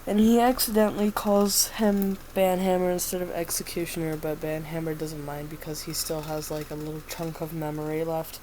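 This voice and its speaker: A woman's voice: low-pitched, flat delivery, moderate pace, few pauses, warm tone, slight background noise, low-quality recording. A woman with a stuffy nose casually reading her own unfinished fan story aloud, stumbling and restarting. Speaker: fan fiction reader.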